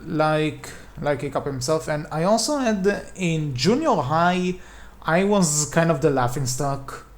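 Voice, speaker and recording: A man with a noticeably nasal voice chats on a fan podcast. He speaks slowly, a little high-pitched, with a dry sarcastic edge and plenty of false starts. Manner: sarcastic, rambling with restarts. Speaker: podcast co-host. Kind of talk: casual fan podcast chat.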